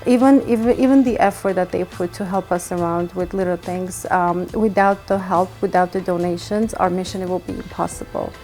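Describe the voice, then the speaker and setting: A woman from Armenia, a charity guest, talking earnestly with many halting restarts. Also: lowish voice, steady tone, a little mellow, faint music behind. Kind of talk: conversational interview.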